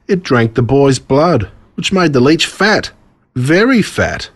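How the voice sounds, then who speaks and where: Aussie guy narrating a gruesome kids story, lowish husky voice, really dramatic about it. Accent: Australian. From Australia. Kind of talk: scripted storybook narration told as a boy.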